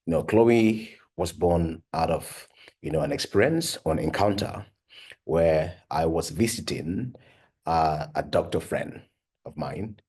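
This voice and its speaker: A man's voice: low voice, measured pace, darkish tone, husky. A young adult man from Cameroon, a device company founder and PhD researcher, telling his story in an earnest, conversational way with many "you know" and "uh" fillers. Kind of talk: personal talk for an online course.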